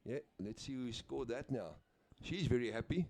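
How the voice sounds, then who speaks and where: Guy doing sports commentary, laid back, lots of uhs, mellow voice. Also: mid-range voice, lively intonation, moderate pace, a little hiss or hum. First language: Afrikaans. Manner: easygoing, chatty, with frequent uhs and name slips. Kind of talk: live play-by-play commentary.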